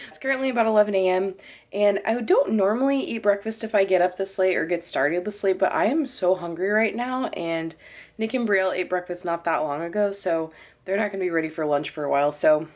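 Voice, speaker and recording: A woman's voice: lowish voice, a little mellow. A young woman vlogging casually at home, chatty, with lots of "like" fillers and small laughs. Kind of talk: casual vlog chat. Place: home kitchen.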